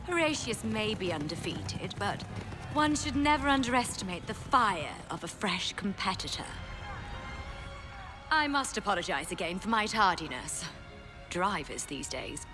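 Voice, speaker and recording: A refined, self-assured businesswoman in a game cinematic. Her medium-pitched voice moves freely up and down, urbane and wry with sly graciousness. Music plays behind her. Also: very animated, a little slow. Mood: breezy charm. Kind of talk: video game cinematic voice acting.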